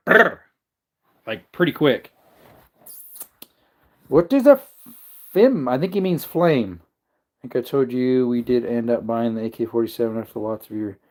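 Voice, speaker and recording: A man with a mid-range voice hosts a relaxed livestream. He rambles between topics, cracks jokes and greets viewers by name as they come into the chat. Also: mid-range voice, measured pace, long pauses. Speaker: livestream host.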